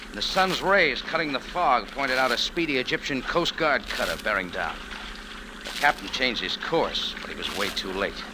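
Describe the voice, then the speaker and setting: An actor playing a tough, sardonic American café owner in an old-time radio adventure, with clipped, commanding delivery. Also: male voice, mid-range voice, natural voice. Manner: hard-boiled, clipped, commanding. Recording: noisy old radio drama recording.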